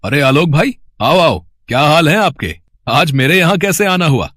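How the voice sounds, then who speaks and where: Man playing a glib, lively village landowner. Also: a little high, normal speed, dry recording, clean studio sound. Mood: affable. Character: smooth-talking, two-faced village landowner. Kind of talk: acted cartoon story dialogue.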